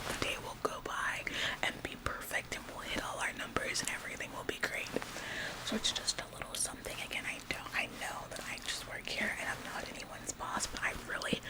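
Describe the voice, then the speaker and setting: A woman's voice: lightly acted, rough recording. A woman playing an overeager, bossy, relentlessly upbeat female office worker in a whispered ASMR roleplay, with a passive-aggressive, falsely modest manner. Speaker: ASMR performer.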